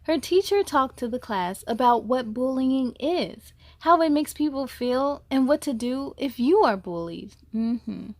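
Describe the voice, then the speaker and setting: A woman's voice: sits high, lively intonation, measured pace, few pauses, a little mellow, dry recording. A woman hosting a children's story time, reading aloud expressively and speaking to young listeners in an encouraging way.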